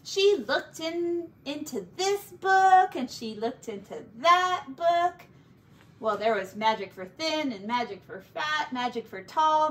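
A woman reads a children's story aloud like a teacher, in a high and unhurried voice. She leans into dramatic words and adds small asides for her listeners. She sounds a little way from the mic. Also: a bit bright. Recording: slightly distant mic, faint background noise.

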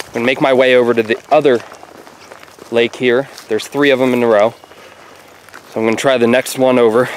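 A young man casually narrating his fishing trip, speaking quietly as he stalks fish by a lake. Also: slight background noise. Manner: hushed and low while stalking fish. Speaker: fishing vlogger. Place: outdoors by lake flats, partly in a car. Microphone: action camera on head or in hand.

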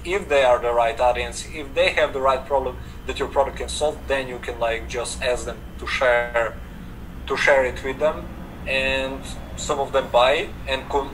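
Young man, Serbian accent, casual, filler-heavy, distant webcam mic, air conditioner hum. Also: mid-range voice. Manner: relaxed, frequent "like" fillers, gestures while talking. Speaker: guest, affiliate marketer. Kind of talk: casual video call interview. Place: home room indoors. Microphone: video call webcam.

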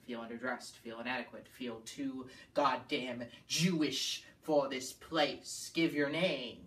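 Man acting brooding, scornful painter, slow and intense. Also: fairly high pitch. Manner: deliberate, swelling from hushed to snarling. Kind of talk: theatrical dramatic monologue. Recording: echoey room, distant mic.

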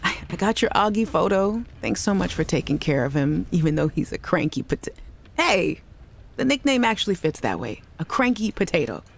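A woman's voice: on the low side, very animated, brisk, vocal fry, heavily acted. A woman voicing an upbeat young woman recording chatty, giggly audio messages to her little sister in a game. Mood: worried. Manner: cheerful, chatty, giggly, affectionate. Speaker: game character in audio logs. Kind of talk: voiced game audio log.

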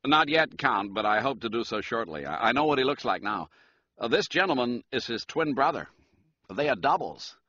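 Man playing a brisk, forceful, confident detective. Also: mid-range voice, big pitch swings, highs cut off. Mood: businesslike. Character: gimlet-eyed, confident, businesslike male detective.

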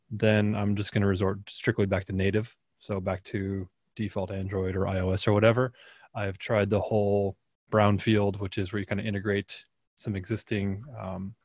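Low-voiced man giving blunt opinions. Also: dry recording, clean studio sound. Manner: direct, opinionated. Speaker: panelist. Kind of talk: casual video call discussion. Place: home room. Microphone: desk mic on arm.

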